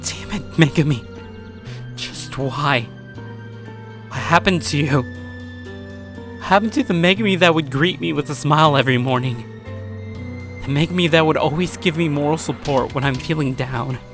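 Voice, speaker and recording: A man's voice: fairly high pitch, normal speed, long pauses, music in the background, natural voice. A man playing an earnest, troubled teenage schoolboy, telling his own story in dramatic first-person visual novel narration. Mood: upset, anguished.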